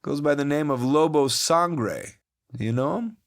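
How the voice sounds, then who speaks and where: An actor playing a world-weary, slangy police detective with a brusque, wisecracking manner in a pulpy audio drama. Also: male voice, a little high, moderate pace, close to an everyday voice. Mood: skeptical, weary.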